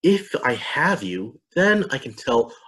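A man voicing an earnest, curious young girl in an expressive, wondering way. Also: medium pitch, slow pace, pitched above the performer's own voice. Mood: earnest, eager.

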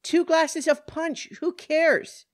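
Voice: mid-range voice, sing-song, takes it slowly, dark tone, pitched above the performer's own voice, full character voice. A narrator voicing a frantic, cornered woman, pleading and protesting in exasperation in an audiobook story. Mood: frantic, exasperated.

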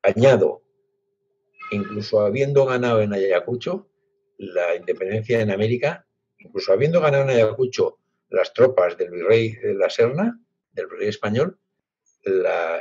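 A man's voice: on the low side, vocal fry, darkish tone, slightly rough. A Spanish man in his sixties, a guest author, speaking reflectively with many restarts over a video call from his home library. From Spain, Basque Country. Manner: reflective, halting with frequent restarts. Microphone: laptop webcam on video call. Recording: dull lo-fi video call audio.

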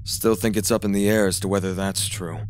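A very deep-voiced, wry con artist from a fan-dubbed game, acted by a man. He talks in short, dry, deadpan lines, like someone tired of it all. Clear background noise sits behind him. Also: brisk, natural voice. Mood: matter-of-fact. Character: wry, world-weary male con artist with a child companion. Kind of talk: game fan-dub voice acting.

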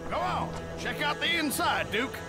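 Game buddy role: brash, excitable grunt, extremely high and gravelly, swooping delivery, heavy music behind. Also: male voice, moderate pace. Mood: cocky, excited. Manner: brash, exclamatory. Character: brash, foul-mouthed, excitable male soldier sidekick. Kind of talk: video game cutscene acting. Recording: loud music over the voice.

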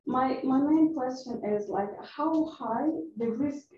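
A woman's voice: sits high, slow pace. A woman from Afghanistan with an Afghan accent, making an unscripted comment and question from a panel audience. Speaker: researcher asking from the audience. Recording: echoey room, distant mic, dull, faint noise.

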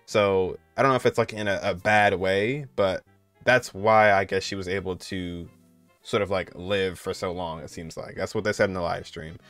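A man in his twenties reacts casually to a game trailer in an informal, chatty style. His voice is fairly low and smooth, with some music playing behind him. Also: steady tone, moderate pace, a bit bright, no room sound.

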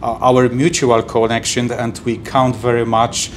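A middle-aged man from Poland with a Polish accent, a trade agency executive answering interview questions in halting English full of fillers and restarts, recorded in an office by a camera at a distance. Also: mid-range voice, flat delivery, slight background noise. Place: office, indoors.

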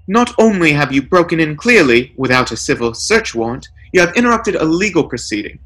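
Comic-story corporate lawyer, acted by a male reader: pompous, deliberate, pedantic, lively intonation. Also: on the high side, slight background noise, pitched above the performer's own voice. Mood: pedantic, smug. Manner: formal, deliberate legalese.

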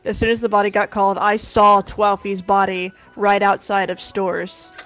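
A man in casual game voice chat, explaining what he saw in a halting way. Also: high-pitched, completely flat delivery, fairly bright tone, soft background music, rough recording.

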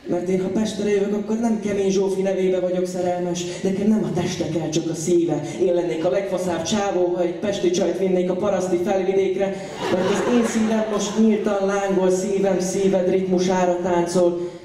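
A man's voice: high-pitched, little pitch movement, warm tone. A young man performing slam poetry to a live audience in a theatrical, impassioned and playful way. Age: young adult. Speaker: slam poet. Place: large indoor venue, on stage. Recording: echoey hall from far off, audience audible. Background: live crowd reacting.

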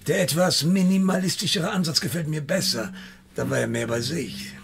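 An actor playing a menacing, pompous, world-weary debt collector who lectures deliberately in a German radio crime drama. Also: male voice, a little high, vocal fry. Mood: condescending, calm.

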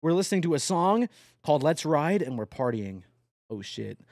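Young male streamer, high and lively, casual and profane, talking to chat over a truck game, dry close mic. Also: moderate pace, creaky, no echo. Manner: excitable, swears often, yelps and laughs; addresses chat directly. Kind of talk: casual live-stream chatter while playing a truck driving game.

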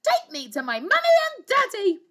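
A woman playing a bossy, spoilt little princess in a storybook, whiny and demanding, with drawn-out shouts. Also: extremely high pitch, very animated, voice pushed up, exaggerated voice. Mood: shouting, demanding.

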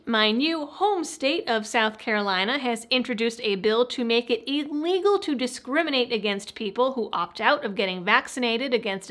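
A woman's voice: fairly high pitch, fairly bright tone. A young adult woman delivering a scripted news rundown as host, with wry, informal asides. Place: small indoor studio setup.

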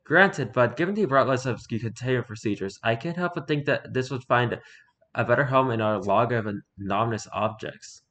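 Male streamer, mid-range voice, reads creepy fiction aloud with halting delivery and self-corrections. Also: mid-range voice, a bit bright. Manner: stumbles over words, self-correcting. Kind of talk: scripted narration read aloud, halting.

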